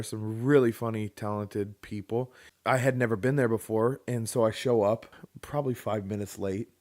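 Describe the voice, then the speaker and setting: A man's voice: medium pitch, a little mellow. A young man co-hosting a podcast, chatting casually with a loose, joking manner and casual swearing. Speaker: podcast co-host. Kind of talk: casual chat.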